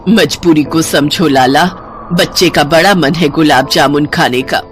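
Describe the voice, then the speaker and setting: A woman's voice: deep voice, a little slow, faint music behind. A woman playing a caring elderly village mother-in-law in a storybook tale, speaking earnestly. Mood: pleading.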